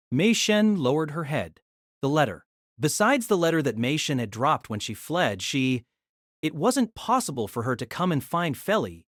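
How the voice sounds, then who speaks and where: A woman's voice: deep voice, no room sound, clean studio sound. A woman narrating a scripted, dramatized story as its narrator.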